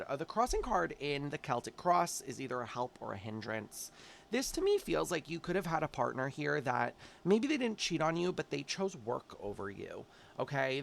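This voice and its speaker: Male tarot reader, congested, chatty and direct with viewers, fry in his voice. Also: medium pitch, a little hiss or hum. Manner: chatty, warm-hearted, talks straight to viewers. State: stuffy, congested from allergies. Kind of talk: casual monthly tarot forecast.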